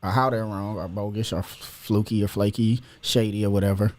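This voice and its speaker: A man's voice: on the low side, steady tone, a little fast, a little mellow. A man hosting a casual podcast, talking loosely and opinionated with lots of slang. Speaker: podcast host.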